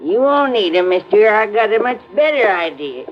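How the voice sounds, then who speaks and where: A woman's voice: medium pitch, very animated, moderate pace, close to an everyday voice. An actress as a folksy, suspicious landlady. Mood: fussing. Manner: colloquial, folksy, hesitant and nosy. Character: suspicious, folksy, fussing boarding-house landlady. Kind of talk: 1950s radio drama acting. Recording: dull, noisy vintage radio recording.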